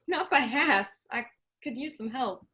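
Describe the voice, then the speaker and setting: A woman's voice: high-pitched, lively intonation, slow, sharp tone, slightly rough, heavily acted. A woman reading the part of a wry, practical rural wife in a staged play reading. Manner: wry, chatty, laughs easily.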